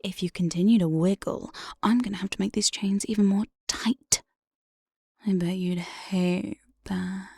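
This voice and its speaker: A woman's voice: mid-range voice, fairly even intonation, a little slow, darkish tone, no echo, clean studio sound. Woman voicing a whispering, teasing demon jailer. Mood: teasing menace. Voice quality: breathy, often whispered. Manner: teasing, mock-sweet, menacing. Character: evil, playful female demon head jailer, sly mad inventor. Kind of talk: whispered ASMR roleplay. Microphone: close binaural studio microphone, dry.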